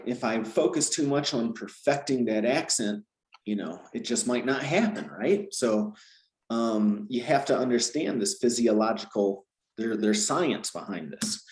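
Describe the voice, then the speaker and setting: A man's voice: medium pitch, reverberant, low-quality recording. A man, a language teacher, explaining at length in a conversational interview, often ending points with "right?". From Georgia, US South. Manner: animated, explains with repeated "right?" tags.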